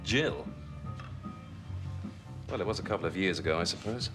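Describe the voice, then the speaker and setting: An actor playing a guarded, dryly wry former intelligence agent in a British TV drama. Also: male voice, low-pitched, normal speed, natural voice. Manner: dry, guarded, wry questions. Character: dry wary male ex-spy, back from holiday. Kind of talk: 1980s British TV drama acting. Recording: TV soundtrack with music underneath.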